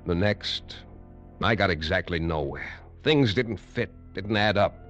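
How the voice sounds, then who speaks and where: A man's voice: sits low, a little slow, fairly bright tone. A man narrating a 1950s adventure radio drama in the first person, with tense, hard-boiled delivery. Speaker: lead actor and narrator. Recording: dull old radio recording, faint music.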